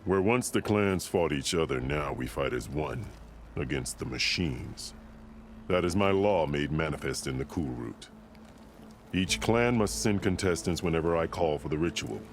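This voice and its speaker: Very deep-voiced, solemn warrior chief. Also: male voice, a little hiss or hum, exaggerated voice. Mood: authoritative. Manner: formal, solemn, measured storytelling. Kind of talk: dramatic video game dialogue. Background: music.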